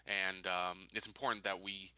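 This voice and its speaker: A man playing an earnest, dutiful colony official in a tabletop game, reporting in a formal, halting, businesslike way. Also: sits low, little pitch movement, pushed and bright, clear, resonant tone, no room sound.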